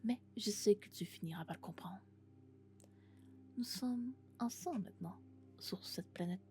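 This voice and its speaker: Woman acting as a clingy lovesick female robot, breathy asmr whispering, slightly creaky voice, faint music. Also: mid-range voice, natural voice. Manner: slow, breathy, hushed, often whispering. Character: lovesick, possessive female robot, tender and obsessive. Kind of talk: ASMR roleplay, soft intimate whispering.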